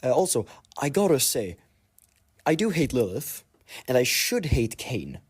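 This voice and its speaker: A man's voice: mid-range voice, sing-song, unhurried, breathy edge. A man giving casual, rambling commentary in a listener voice message.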